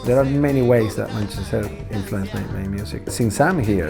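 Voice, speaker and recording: A Venezuelan band leader and musician speaking English with a Venezuelan Spanish accent. He speaks Spanish natively and tells his story thoughtfully and earnestly, sometimes searching for his words. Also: fairly low pitch. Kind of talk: reflective documentary interview. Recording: music playing underneath.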